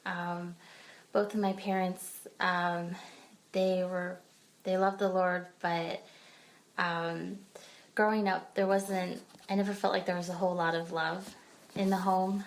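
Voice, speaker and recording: A woman from Pennsylvania, a podcast guest sharing her personal story in a hesitant way, with frequent pauses and fillers. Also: lowish voice, little pitch movement. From Pennsylvania, United States. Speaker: guest speaker. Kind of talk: personal testimony in a podcast interview.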